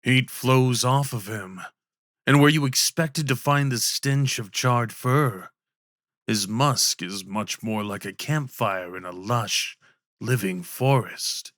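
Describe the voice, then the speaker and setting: Guy narrating an asmr fantasy story, really slow and measured, lowish-average pitch, no room echo. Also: fairly bright tone, clean studio sound. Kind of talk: scripted second-person story narration.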